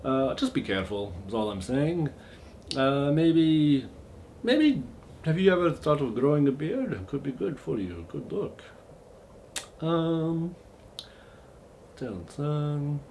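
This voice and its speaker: A man playing a genteel vampire host with a mock Eastern European accent in a comic monologue, halting and overly polite, with awkward deadpan asides. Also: fairly high pitch, slight background noise, exaggerated voice. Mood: nervous, evasive.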